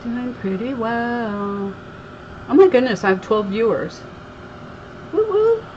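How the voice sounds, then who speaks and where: A woman's voice: a little high, lively intonation, slow pace, highs cut off, background noise. A woman from Connecticut with a slight Southern twang, hosting a crafting livestream and chatting with viewers while she works. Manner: chatty, reads viewer comments aloud, laughs easily. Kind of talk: casual live crafting chat.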